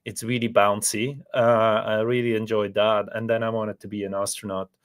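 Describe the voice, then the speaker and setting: A man's voice: fairly low pitch, flat delivery, normal speed. A middle-aged man from Switzerland, a business professor, answering in a thoughtful, modest way with hesitant restarts. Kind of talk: podcast interview.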